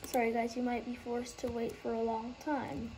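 A woman explaining a game trading method in a casual, halting way, losing her train of thought and starting over. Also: a little high, little pitch movement, warm tone, a little hiss or hum, poor audio. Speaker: gaming tutorial host.

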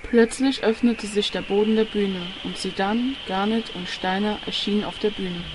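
A woman's voice: medium pitch, steady tone, normal speed, noisy background. A woman reading scripted narration for an audio drama. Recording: music under the voice. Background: music.

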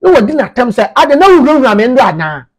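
Ghanaian man, thirties, informal commentator. High, husky voice, emphatic and repetitive delivery. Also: big pitch swings, fast. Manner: emphatic, repeats phrases for emphasis. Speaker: media commentator. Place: small indoor office.

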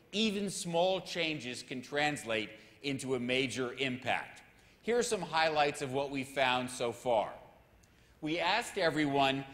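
Man in his sixties, lectern talk, measured explanatory tone, slightly high voice, auditorium reverb. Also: fairly bright tone. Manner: measured, clear explanatory delivery. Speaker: research center director giving a talk. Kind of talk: presenting research findings from a lectern. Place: large stage in a dark auditorium. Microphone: lectern microphones. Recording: hall reverb from a big auditorium.

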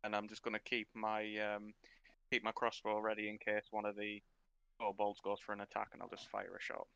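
A man's voice: on the low side, monotone, a little fast, pushed and bright, smooth, dry recording, highs cut off. A man playing an online tabletop game, casually describing what his character does on his turn.

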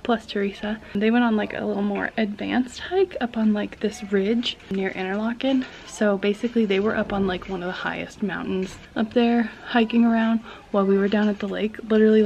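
A woman's voice: medium pitch, gravelly, a little mellow, lo-fi sound, a little hiss or hum. A woman in her thirties chatting casually to her vlog audience, talking into a phone camera at arm's length with lots of "like" fillers. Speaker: family vlogger. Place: indoors by a window, then outdoors.

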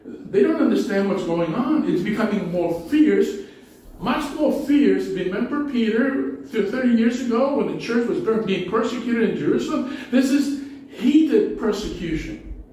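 A middle-aged pastor with a Spanish accent and a high voice, preaching in a loose, conversational way with many restarts. He is picked up from a distance in a strongly echoing small church hall, with faint background hiss. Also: expressive, measured pace, dark tone. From Spanish-speaking country. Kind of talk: sermon. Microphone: pulpit mic, far camera.

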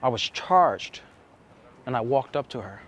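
A young man explaining to camera as a dating coach, with emphatic, deliberate phrasing and pauses, recorded outside on a city street. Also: medium pitch, expressive. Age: young adult. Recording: outdoor city street with traffic noise.

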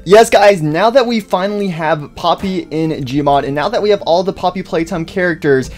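A man's voice: high-pitched, moderate pace, soft background music. Upbeat rambling young-sounding YouTuber man. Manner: upbeat, rambling with many fillers. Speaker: YouTuber host. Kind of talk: casual game mod review commentary.

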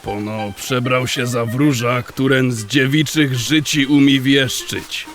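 A man playing a wary, grumbling old dwarf thief in an audio drama, with a gravelly voice and rustic, archaic dialect. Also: mid-range voice, fairly even intonation, slow, over music, close to an everyday voice. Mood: gossipy. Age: older.

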